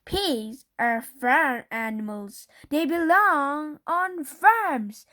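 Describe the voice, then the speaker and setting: A woman voicing a bossy, opinionated older sister who shouts slowly and emphatically. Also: high voice, expressive, pitched above the performer's own voice, full character voice.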